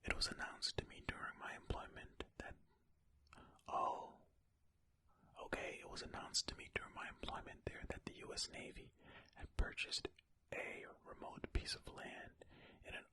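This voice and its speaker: Casting: ASMR storyteller, fully whispered, very sharp airy tone, informal and unhurried, close to the mic. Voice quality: breathy, unvoiced whisper. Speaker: ASMR host reading and commenting on stories. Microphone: close ear-to-ear ASMR microphone.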